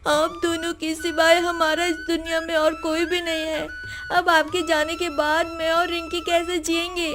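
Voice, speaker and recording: Woman doing an earnest, very high-pitched child. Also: flat delivery, normal speed, over music. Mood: grieving. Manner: earnest, pleading. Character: two orphaned children, a brother and sister, earnest.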